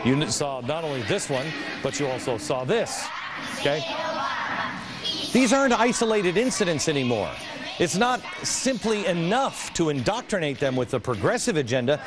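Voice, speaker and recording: A man's voice: fairly high pitch, big pitch swings, normal speed, music in the background, crowd noise. A man in his forties hosting an opinion TV show in a large studio, talking in an indignant, sarcastic, theatrical way. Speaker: TV host. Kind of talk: opinion TV commentary. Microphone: studio mic, standing at camera.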